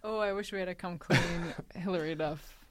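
A woman's voice: fairly low pitch, normal speed. A woman co-hosting a podcast with casual, playful comedic banter. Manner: playful, animated. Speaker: podcast co-host.

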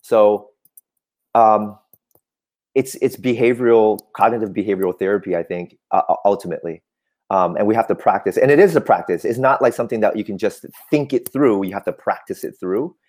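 A man whose first language is Cantonese, a guest on a talk show, speaking reflectively with frequent pauses and restarts. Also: fairly low pitch, darkish tone. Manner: reflective, hesitant, with many "um" and restarts. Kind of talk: casual interview chat.

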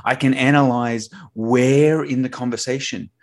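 A man's voice: mid-range voice, fairly even intonation, unhurried, a little mellow. A man with an Australian accent, a podcast guest talking casually with lots of fillers and acted-out quotes. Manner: chatty, many fillers, acts out quoted dialogue. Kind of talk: casual interview chat.

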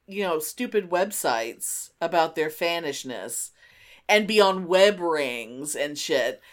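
Middle-aged female podcast co-host, highly varied intonation, informal and animated, loose conversational delivery with swearing. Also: slow pace. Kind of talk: casual unscripted chat.

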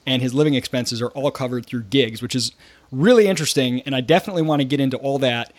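A man hosts a podcast interview in a mid-pitched voice, casual and chatty with frequent restarts. The sound is close and dry, with no room echo. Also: fast. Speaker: podcast host.

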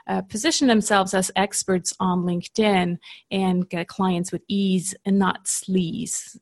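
Chatty Swiss woman with Canadian-tinged English. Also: medium pitch. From Switzerland. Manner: chatty, laughs easily between points. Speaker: podcast guest, business coach. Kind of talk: casual interview chat.

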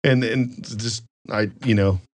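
A man with an American accent and a fairly low voice, recorded close and dry. He chats casually and rambles through stories in a joking way, like a podcast host. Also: steady tone, measured pace, no echo. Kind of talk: casual chat and storytelling.

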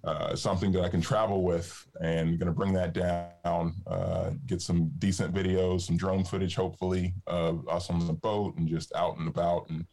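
A man with a deep, resonant low voice, chatting casually as a podcast guest with plenty of fillers. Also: normal speed, gravelly, hardly any pauses. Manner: relaxed, rambling with fillers. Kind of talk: casual conversation.

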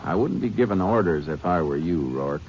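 A man's voice: low-pitched, animated, darkish tone. A man playing a stern, terse Texas Ranger in an old radio drama. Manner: terse, firm, measured. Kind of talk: 1950s radio acting. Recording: dull old radio recording with hiss.

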